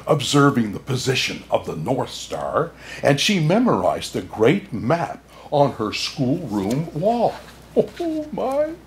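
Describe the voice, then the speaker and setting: A man playing a jolly, kindly old Santa Claus, excitable and chuckling, reading a children's storybook aloud with "oh my" exclamations. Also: medium pitch, slow pace, heavily acted. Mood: delighted, excited. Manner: hearty chuckles and frequent "oh my" exclamations. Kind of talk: children's storybook read-aloud with asides to listeners.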